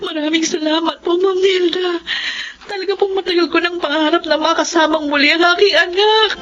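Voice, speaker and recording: A woman's voice: extremely high pitch, fairly even intonation, fairly quick, fairly bright tone, slight background noise. An actress playing a humble, middle-aged widowed mother, deferential and emotional, in a radio drama. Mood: moved, overjoyed. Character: humble, grateful widowed mother working as household helper. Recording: slightly dull audio with music underneath.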